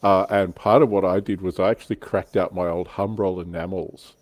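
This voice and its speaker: A middle-aged man with a fairly low voice hosts a relaxed hobby chat. He talks informally, jokes and swears mildly, and the sound is close and dry. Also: brisk, dry recording. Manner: informal, joking, mild swearing. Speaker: livestream host.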